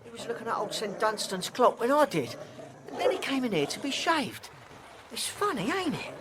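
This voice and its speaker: Woman acting a high-voiced nervous apprentice boy. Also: big pitch swings, normal speed, noisy background. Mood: nervous, flustered. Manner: hesitant, deferential, eager. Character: nervous, chatty young apprentice boy, deferential to his master. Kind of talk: period audio drama acting.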